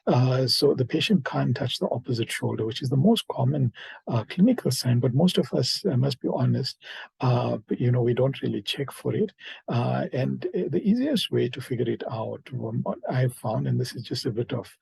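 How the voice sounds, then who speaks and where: Husky, gravelly man lecturing informally. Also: mid-range voice, animated, moderate pace, a little mellow, no room sound. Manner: hesitant, conversational, rambling delivery with many fillers. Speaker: medical lecturer, emergency doctor.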